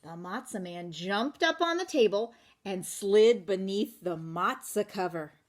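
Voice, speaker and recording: A woman reading a children's picture book aloud in an animated way, stopping to ask listeners questions. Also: medium pitch, a little slow. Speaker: children's storytelling teacher.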